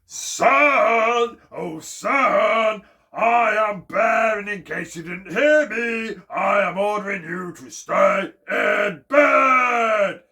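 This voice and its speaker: A man voicing a huge, proud, hot-tempered bear in a children's story, shouting indignant orders. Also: sits high, big pitch swings, extremely slow, creaky, voice pushed up, exaggerated voice. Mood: bellowing, commanding.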